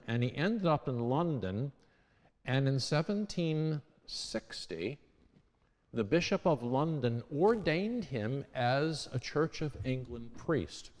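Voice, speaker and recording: A man at an everyday pitch gives an unscripted history talk to a church congregation. He talks conversationally, with restarts and small self-corrections along the way. His voice rings with the long reverb of the church.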